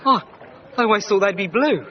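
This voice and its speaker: A loyal Edwardian manservant in a radio drama. His voice sits a little high, modest and restrained, slightly muffled over faint background noise. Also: lively intonation, a little fast. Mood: shy, fond. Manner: deferential, restrained.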